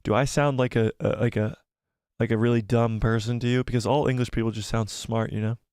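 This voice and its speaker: A young man hosting a live stream, rambling excitedly, laughing often and reading viewer comments, slightly losing his voice. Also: mid-range voice, a little fast, clear, resonant tone, no room sound, clean studio sound. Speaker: YouTube channel host.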